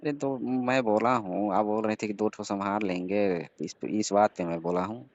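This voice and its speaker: Deep, flat-toned man on an echoey call. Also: normal speed. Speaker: caller. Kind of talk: casual flirtatious phone chat. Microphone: phone call. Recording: band-limited phone call recording with echo.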